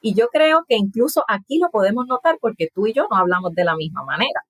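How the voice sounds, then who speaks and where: A woman in her thirties from Puerto Rico with a Puerto Rican accent and soft aspirated s sounds, explaining in a casual interview and laughing often. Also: mid-range voice, animated, fast talker, slightly rough. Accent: Puerto Rican Spanish, aspirated s. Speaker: guest, language content creator.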